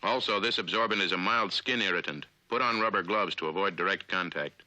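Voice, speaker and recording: A man with an American accent narrates in the style of a 1950s training film. His lowish voice is very bright and forceful, with deliberate, authoritative phrasing. The sound is a dry period film soundtrack. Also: no room sound, rough recording.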